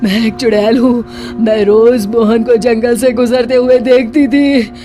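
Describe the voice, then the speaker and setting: Slow, high-voiced pleading witch played by a woman. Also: steady tone, warm tone, soft background music, natural voice. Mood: pleading, confessing. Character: pleading female witch possessing a young wife. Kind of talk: Hindi moral-story cartoon dialogue.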